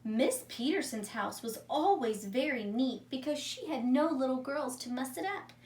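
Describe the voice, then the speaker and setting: A woman's voice: sits high, lively intonation, moderate pace, darkish tone. A woman teacher reading a story aloud to young children, using simple wording and putting questions to her listeners. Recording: echoey room, distant mic, faint noise.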